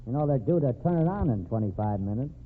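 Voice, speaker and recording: An actor playing a brash, wisecracking American newspaper reporter in an old-time radio drama, cocky and slangy. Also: male voice, medium pitch, animated, fast talker, narrow, tinny audio, lightly acted. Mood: brash, excited. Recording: thin, band-limited, noisy old radio recording.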